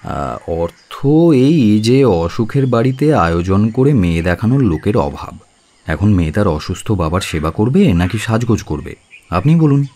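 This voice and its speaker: A man's voice: lowish voice, sing-song, moderate pace, darkish tone. An actor playing a frank, wry young bachelor in a radio play, polite but teasing as he talks. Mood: brisk, persuasive. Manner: polite, teasing, hesitant openers.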